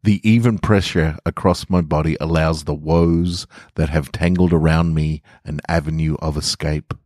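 A man's voice: extremely low pitch, fairly even intonation, moderate pace, darkish tone, no echo. A man who hosts a podcast and reads short stories aloud, speaking into a close mic. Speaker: podcast host and story reader. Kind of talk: narrated short fiction and casual host outro.

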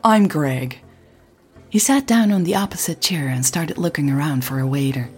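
A woman reading scripted audio drama narration in a husky voice. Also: sits low, expressive, a little fast.

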